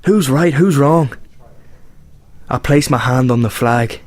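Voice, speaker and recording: Man acting determined Irish republican, Northern Irish accent, earnest, mid-pitched, radio drama. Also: takes it slowly, dry recording, close to an everyday voice. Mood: grave, resolute. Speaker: actor in a radio play. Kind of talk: radio drama monologue and dialogue.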